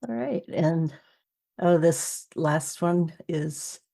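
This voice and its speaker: A woman's voice: low voice, drawn-out words, vocal fry, mellow. A woman hosting a podcast, interviewing a guest in a casual, chatty style.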